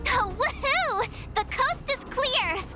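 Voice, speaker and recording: Excitable, very high-pitched little girl. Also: slow, over music, heavily acted. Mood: gleeful, excited. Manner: exclamatory, gleeful. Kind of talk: scripted video game dialogue.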